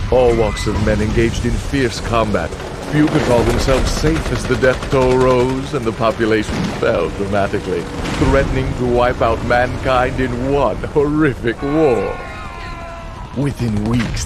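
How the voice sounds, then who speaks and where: An actor playing a sly, grandiose wish-granting contest master, narrating a video game in a theatrical, measured, self-important way. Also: male voice, mid-range voice, very noisy, lightly acted. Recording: music behind the voice.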